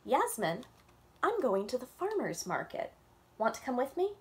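A caring, watchful mother from a picture book, warm but firm with her daughter as a woman reads her aloud. She speaks brightly and clearly. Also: a little high, wildly swooping pitch, normal speed.

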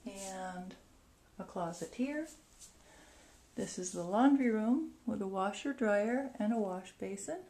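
Slow-paced woman giving a house tour. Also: mid-range voice, dark tone. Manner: short descriptive phrases while walking about. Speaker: vacation rental host giving a house tour. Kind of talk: casual walk-through narration. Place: indoors, moving through rooms of a house. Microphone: handheld camera's built-in mic. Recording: noisy handheld camera recording.

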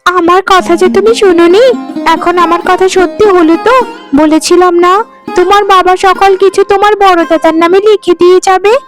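A woman's voice: very high-pitched, fairly even intonation, moderate pace. A woman voicing a scheming, nagging younger daughter-in-law with a scolding, emphatic manner in a cartoon melodrama. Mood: scornful, resentful. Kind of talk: melodramatic cartoon story acting. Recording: music under the voice.

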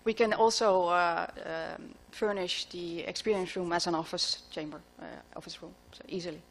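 A middle-aged woman answering audience questions after a conference talk, pausing often with fillers as she thinks, speaking into a podium microphone in a large lecture hall. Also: fairly low pitch, fairly even intonation, slight background noise. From Netherlands. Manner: thoughtful, halting with frequent fillers.

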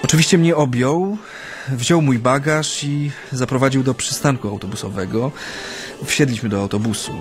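A man's voice: mid-range voice, lightly acted. Guy acting polite japanese exile in a radio drama, mid voice, hesitant and reserved, music behind. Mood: reflective. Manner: hesitant, polite, filled with pauses.

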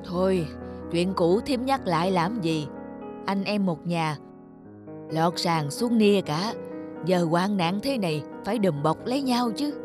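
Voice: mid-range voice, lively intonation, a little slow, over music, natural voice. A performer voicing a humble, forgiving older village relative in a cartoon. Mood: gentle, forgiving.

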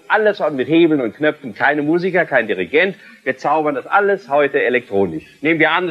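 Man in his sixties, high voice, emphatic showman presenter, technical demonstration, dull 1970s television sound. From Germany. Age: 60s. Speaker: presenter, electronic musician. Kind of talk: TV demonstration of electronic music equipment. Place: indoor electronic music studio.

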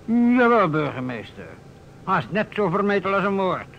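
An actor playing a persistent young police inspector, terse and direct, in a Dutch radio drama. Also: male voice, high voice, big pitch swings, normal speed, fairly bright tone, heavily acted. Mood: cool defiance. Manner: terse, direct, insistent questioning. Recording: muffled vintage radio recording with noise.

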